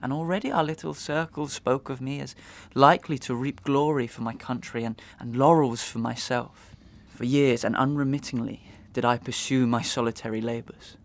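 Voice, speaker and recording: Man acting an anguished melodrama gentleman, creaky voice. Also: medium pitch, fairly even intonation, moderate pace, few pauses, natural voice. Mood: earnest, pleading. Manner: declamatory, archaic, impassioned delivery. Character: anguished, wronged young gentleman of melodrama, noble and despairing. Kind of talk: Victorian stage melodrama acting in an audio drama.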